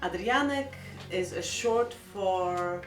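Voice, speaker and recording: A middle-aged woman with a Polish accent, speaking in a gentle, earnest and reflective way in a heartfelt personal vlog. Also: mid-range voice, slow, reverberant, recorded from a distance, over music. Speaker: vlogger.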